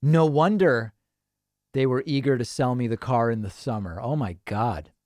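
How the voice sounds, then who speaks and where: A man hosts a relaxed live stream, reading out comments and musing with quick restarts and small laughs. His voice sits at an ordinary pitch, recorded close and dry with no room sound. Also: dry recording. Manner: chatty, thinking aloud with restarts and small laughs. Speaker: host. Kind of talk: casual livestream chat reading viewer comments.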